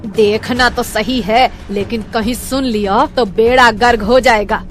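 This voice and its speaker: A woman voicing a sharp-tongued, sarcastic middle-aged mother-in-law in a cartoon story. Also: fairly high pitch, fairly even intonation, unhurried, faint music behind, close to an everyday voice. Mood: scolding. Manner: scolding, dramatic, sarcastic. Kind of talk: cartoon voice acting.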